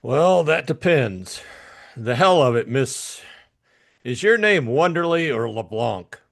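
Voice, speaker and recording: A man's voice: medium pitch, lively intonation, slow, gravelly, fairly bright tone, heavily acted. A man playing a cool, cynical private detective in an audiobook, speaking in a blunt, sardonic, matter-of-fact way. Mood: dry, cynical. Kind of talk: audiobook character acting.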